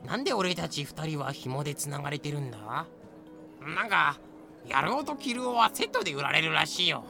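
A man's voice: a little high, lively intonation, fairly quick, vocal fry, over music. A man playing a crude, griping young male captive in a comedic audio drama, talking in slang. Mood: grumbling, comic.

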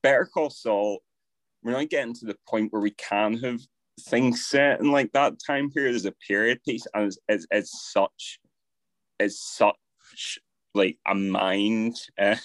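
A man's voice: on the low side, measured pace, dry recording. A young man co-hosting a podcast, talking things through with wry, teasing asides. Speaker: podcast co-host. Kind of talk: casual chat.

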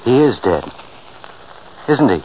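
Grave, deliberate doctor, mid-range voice, ominous and measured, 1940s radio thriller acting, muffled archival sound. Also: male voice, medium pitch, big pitch swings, darkish tone. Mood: solemn. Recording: muffled, hissy old radio recording.

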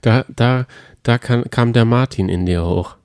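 A man's voice: mid-range voice, unhurried, a little mellow, clear, resonant tone, dry recording, clean studio sound. A man chatting casually as a podcast co-host in a colloquial, teasing way. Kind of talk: casual chat about reality TV.

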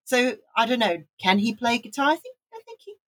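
A woman's voice: fairly high pitch, very animated, brisk. A woman from Wales co-hosting a casual music podcast, talking enthusiastically and rambling with restarts. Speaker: podcast co-host.

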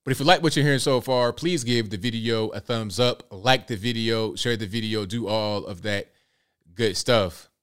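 A man in his late thirties hosting a casual livestream, talking in a relaxed way and reading out viewer comments. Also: fairly low pitch, normal speed, smooth. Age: late 30s. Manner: laid-back, conversational, repeats himself. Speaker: livestream host.

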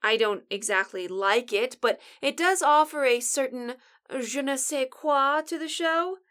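A woman's voice: high voice, a little slow, a bit bright. A woman playing a bossy, sarcastic apocalypse survivor who co-hosts a radio show with dry exasperation. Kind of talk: scripted comedic audio drama, bantering radio show.

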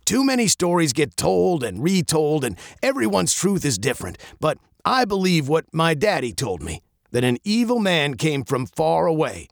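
A man's voice: fairly high pitch, big pitch swings, normal speed, creaky, no room sound, studio quality, pitched above the performer's own voice. A man reading aloud and voicing a grim, grieving old sea captain with a grave, storytelling manner.

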